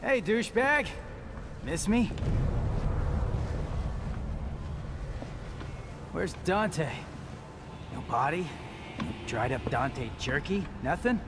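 Cocky young demon hunter, loud music. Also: male voice, fairly high pitch, lively intonation, slow, pauses often. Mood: mocking. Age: young adult. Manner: taunting, slangy, flippant. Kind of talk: cinematic action game acting.

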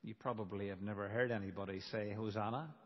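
A man preaching a sermon as a pastor, in a deliberate, emphatic manner with dramatic pauses. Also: fairly low pitch, steady tone. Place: large reverberant church. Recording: dull, reverberant church hall recording.

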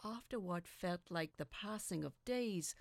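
A woman reading scripted first-person fiction as a dramatic narrator, with a deliberate, suspenseful, theatrical delivery. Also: lowish voice, lively intonation, no echo, studio quality.

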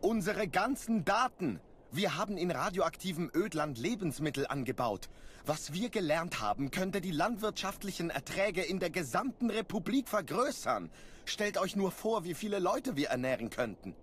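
A worried colony scientist in a game cutscene. His voice is high for a man, earnest and insistent, with faint noise behind. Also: very animated, normal speed. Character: anxious, earnest male colony farm researcher. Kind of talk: dubbed video game cutscene acting.

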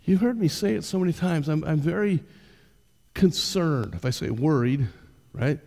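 Older male pastor, conversational sermon delivery, mellow voice, reverberant church hall. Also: fairly high pitch, lively intonation, moderate pace, gravelly. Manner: conversational preaching, direct questions to the congregation. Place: church stage.

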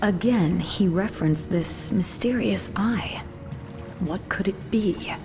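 An actress playing a dutiful, curious night elf attendant in a video game, attentive and questioning. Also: female voice, mid-range voice, takes it slowly. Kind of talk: video game cutscene acting. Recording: music under the voice.

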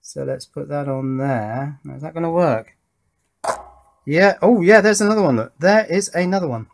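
A man's voice: a little high, lively intonation, normal speed, gravelly, background noise. Man thinking aloud at a workbench. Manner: thinking aloud, tentative and musing. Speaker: host of an electronics build channel. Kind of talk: casual hands-on demonstration commentary. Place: indoor workbench.

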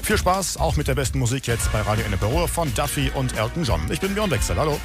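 A man's voice: medium pitch, big pitch swings, fast talker, over loud music. A man presenting local radio as host, reading scripted items in a studio.

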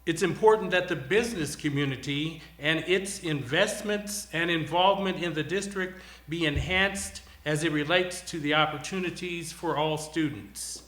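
A man's voice: a little high, moderate pace, few pauses, fairly bright tone. A man giving a formal, declarative campaign speech, addressing his audience directly. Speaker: school board candidate. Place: TV studio. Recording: roomy, echoing studio sound.

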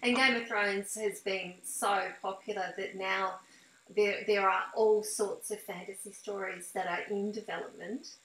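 A woman's voice: mid-range voice, steady tone, measured pace, a bit bright. Echoey middle-aged woman interviewing. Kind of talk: casual interview questioning. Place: small room with blinds, indoors. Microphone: video call, computer microphone. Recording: echoey room on video call.

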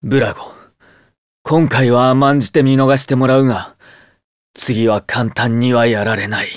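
An actor playing an earnest, protective young demon martial artist in an anime game, solemn and formal. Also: male voice, mid-range voice, steady tone, moderate pace, clean tone, no echo, clean studio sound, natural voice.

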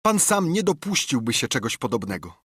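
A man's voice: mid-range voice, sing-song, moderate pace, a bit bright, breathy edge, no echo, studio quality, voice pushed up, exaggerated voice. A man voicing a frightened but honourable teenage boy who pleads and holds firm, in an audiobook. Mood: pleading. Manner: pleading, stubborn. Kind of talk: audiobook character reading.

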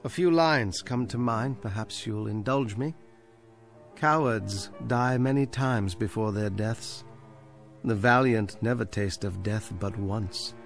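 An actor in a radio drama playing a bookish condemned citizen, wry and defiant, speaking in a measured, theatrical, literary way. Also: male voice, lowish voice, soft background music. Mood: calm, defiant.

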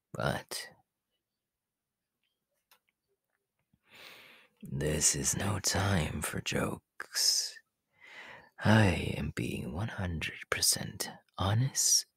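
Creepy taunting snake villain asmr, super husky whispering, gloating, right up on the mic. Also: male voice, extremely low pitch, animated, fairly bright tone, dry recording, exaggerated voice. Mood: mocking, threatening. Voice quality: breathy, raspy whisper. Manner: slow, drawn-out, gloating. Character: sinister, taunting male snake villain, menacing and possessive. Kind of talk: ASMR audio roleplay.

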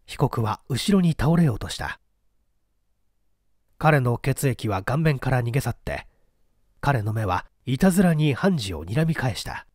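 Male reader for mystery fiction: expressive scripted narration, dry close sound. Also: medium pitch, a little slow, pauses often, no echo. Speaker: audiobook reader.

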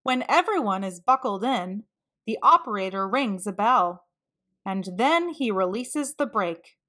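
Bright-voiced woman reading a storybook, unhurried. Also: mid-range voice, expressive. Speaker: children's read-aloud narrator. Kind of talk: expressive storybook read-aloud for children.

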